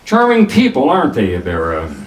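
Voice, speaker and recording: An actor playing a cool, self-assured private detective in the manner of 1940s radio drama, narrating and sparring wryly. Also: male voice, mid-range voice, huge pitch swings, a little fast, slight background noise. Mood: explaining calmly. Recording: roomy echo, distant from the microphone.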